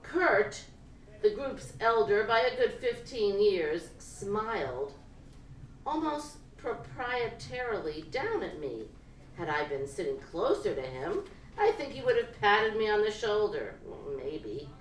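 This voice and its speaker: Female reader for live literary fiction: unhurried, deliberate, dramatic phrasing, heard at a distance in an echoey room. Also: mid-range voice, big pitch swings. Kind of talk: expressive literary reading of first-person prose. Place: indoor live reading event. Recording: roomy, distant live-event recording with noise.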